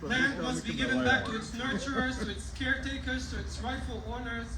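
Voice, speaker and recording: Impassioned man from Palestine, high voice. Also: fairly even intonation, fairly quick, gravelly, over a noisy crowd. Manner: impassioned, rising to forceful. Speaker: rally speaker. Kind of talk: protest speech. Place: outdoor protest. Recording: echoey, distant, noisy outdoor recording.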